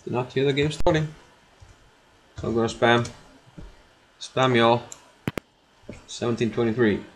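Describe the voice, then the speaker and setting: Creaky-voiced Icelandic-accented man thinking aloud. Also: mid-range voice, measured pace, slight background noise. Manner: muttering, repeats his own phrases. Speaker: chess streamer. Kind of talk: casual live game commentary, thinking aloud.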